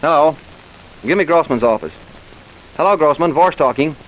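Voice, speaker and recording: A man playing a thorough, insistent police inspector in an old radio drama, questioning people briskly. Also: on the high side, expressive, natural voice, rough recording. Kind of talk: 1940s radio drama acting. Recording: hissy old radio recording.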